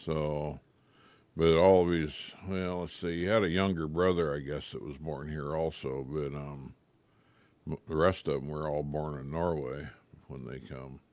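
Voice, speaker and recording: A man's voice: extremely low pitch, steady tone, a little slow, a little mellow, no room sound. An older man from rural Washington state in a casual radio chat, talking in a folksy, plainspoken way with short answers. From rural Washington state, United States. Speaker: radio show participant. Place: radio studio.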